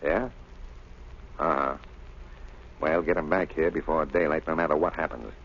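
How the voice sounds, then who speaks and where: An actor playing a gruff, scheming, domineering prison warden in a 1930s radio drama. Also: male voice, rumbling low, fairly even intonation, normal speed. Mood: conspiratorial. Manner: brusque, blustering. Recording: muffled, hissy 1930s radio recording.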